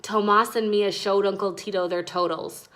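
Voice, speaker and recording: A woman reading a children's book aloud in an expressive, deliberate storytelling style. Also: mid-range voice. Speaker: read-aloud reader.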